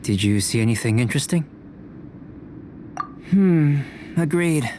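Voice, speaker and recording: An actor playing a calm, cerebral young alchemist in an anime game, speaking in a measured, polite way. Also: male voice, a little high, slight background noise. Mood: calm, thoughtful.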